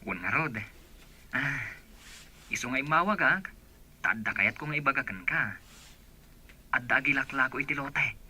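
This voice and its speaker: A man's voice: mid-range voice, animated, moderate pace, long pauses, sharp tone, breathy edge, exaggerated voice. A man with an Ilocano accent playing an eager, respectful village go-between in a radio drama. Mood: eager, reassuring.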